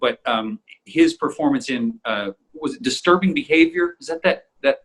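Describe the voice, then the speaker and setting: Male voice actor type in relaxed conversation: slightly rough-edged, reflective, meandering, circling back over his words. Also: medium pitch, moderate pace, low-quality recording. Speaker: voice actor in an audio drama group. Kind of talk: casual behind-the-scenes chat.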